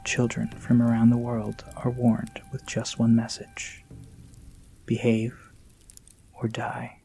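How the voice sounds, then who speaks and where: An ominous teller of dark folklore, acted by a man, mellow and level in pitch. The delivery is menacing and scripted, dropping toward a hush, like a horror podcast warning. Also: monotone, slow, gravelly, soft background music. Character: ominous storyteller warning of a child-eating bogeyman. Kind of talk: spooky scripted legend narration.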